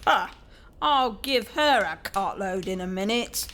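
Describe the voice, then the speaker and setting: A woman playing a gruff, sharp-tongued housekeeper in an audio drama, blunt and snappish. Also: medium pitch, lively intonation, takes it slowly, a little hiss or hum. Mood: grumpy, scornful.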